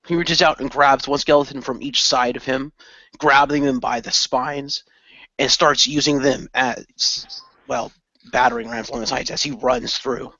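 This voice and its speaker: A man's voice: mid-range voice, fairly even intonation, moderate pace, sharp tone, no room sound, dull audio. A man narrating his character's actions as a player in an improvised role-playing audio show. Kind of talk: improvised tabletop-style narration.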